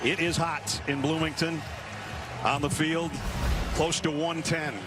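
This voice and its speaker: Man, American accent, slightly high pitch, live football play-by-play, heavy background noise. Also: little pitch movement, a little slow. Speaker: play-by-play announcer. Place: stadium broadcast booth. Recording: very noisy TV broadcast recording.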